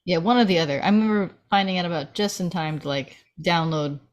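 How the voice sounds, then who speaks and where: A woman's voice: lowish voice, fast talker. A woman chatting casually about films as a participant in a table read.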